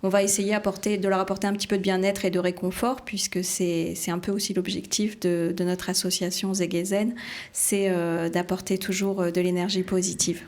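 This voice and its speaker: A woman's voice: on the low side, little pitch movement, fairly quick, darkish tone. A woman speaking as a charity organiser in an interview, in hesitant, piecemeal phrases. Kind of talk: conversational interview answers.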